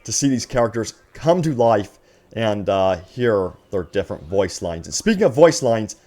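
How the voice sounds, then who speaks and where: A man's voice: on the low side, normal speed. A man hosting a gaming news video, speaking enthusiastically to viewers and reading out announcements. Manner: excited, addresses viewers as guys. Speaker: gaming news channel host.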